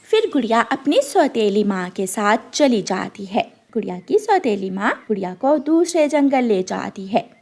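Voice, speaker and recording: Female children's narrator, high voice, lively and expressive scripted storytelling. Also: normal speed, few pauses.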